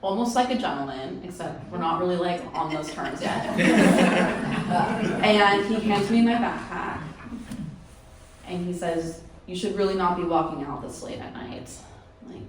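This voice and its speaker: A woman's voice: lowish voice, expressive, a little slow, noisy background. A woman telling a personal story on stage in a casual, wry way, chuckling now and then. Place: event hall with audience. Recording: echoey hall, distant mic.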